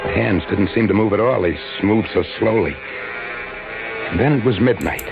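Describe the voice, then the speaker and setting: Haunted man, low muffled radio voice. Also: animated, normal speed, vocal fry. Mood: haunted, anxious. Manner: tense first-person confiding narration. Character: haunted, frightened man recalling his downfall. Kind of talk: old-time radio drama monologue. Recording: muffled phone-like radio recording, music behind.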